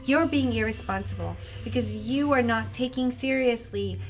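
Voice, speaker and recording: A woman's voice: fairly high pitch, normal speed, faint music behind, rough recording. A woman hosting an interview, chatty and enthusiastic, often laughing. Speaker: host. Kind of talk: casual interview chat.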